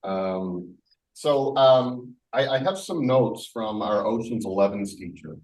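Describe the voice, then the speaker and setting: A man with a projecting voice giving an earnest, halting prepared speech as a school leader at a community event. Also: lowish voice, a little mellow. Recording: distant microphone in a room.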